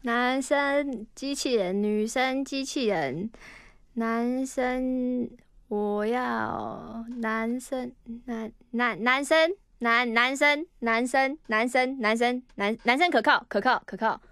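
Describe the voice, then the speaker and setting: A woman's voice: on the high side, slow, a bit bright, clear, resonant tone, dry recording. A woman with a Taiwanese Mandarin accent doing playful improvised radio banter, giggly and flustered, often repeating words. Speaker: radio comedy performer.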